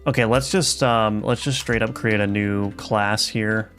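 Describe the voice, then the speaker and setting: A man's voice: lowish voice, fairly even intonation, unhurried. A man streaming live as a game developer, talking casually and thinking aloud with many fillers and restarts while he codes. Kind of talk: live coding stream, reading chat. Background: soft lofi music.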